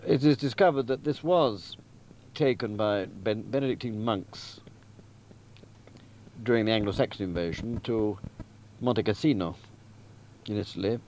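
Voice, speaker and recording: A man giving a deliberate, emphatic and articulate spoken summary of a story, like a novelist outlining a book. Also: fairly low pitch, a little mellow, slight background noise, poor audio. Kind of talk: spoken plot summary, literary talk.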